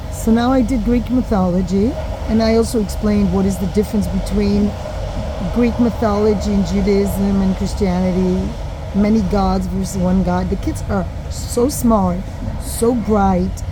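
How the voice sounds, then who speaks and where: A middle-aged woman speaks frankly and with indignation, her voice at a middling pitch. She records on her phone inside a moving train. The rumble of the train is clearly heard beneath her voice. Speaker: drama and yoga teacher. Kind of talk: casual monologue vlog. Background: train and vehicle noise.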